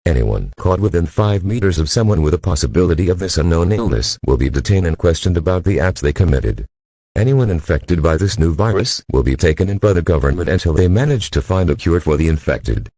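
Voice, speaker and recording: A man playing a formal, grave news anchor reading a scripted bulletin in a measured delivery. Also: low voice, animated, warm tone, no echo.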